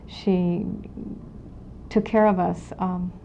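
A woman in her forties from Los Angeles recalls her family history slowly, with many pauses and fillers. Her voice sits low and flat, with a gravelly fry. It comes through dull, lo-fi tape with a faint hiss. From Los Angeles, California. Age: 40s. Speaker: oral history interviewee. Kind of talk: recorded oral history interview.

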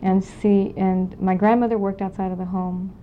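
A woman in her forties from Los Angeles, recalling her family history in an oral history interview, speaking in a reflective, halting way with many pauses. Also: medium pitch, level tone. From Los Angeles, California. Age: 40s. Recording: dull lo-fi 1992 tape with faint hiss.

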